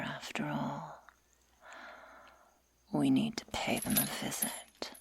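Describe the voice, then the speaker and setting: A woman voicing a sadistic, taunting werewolf girl in a breathy whisper for an ASMR roleplay, speaking slowly and deliberately with mock-sweet menace. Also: low-pitched, a little mellow, slight background noise, full character voice.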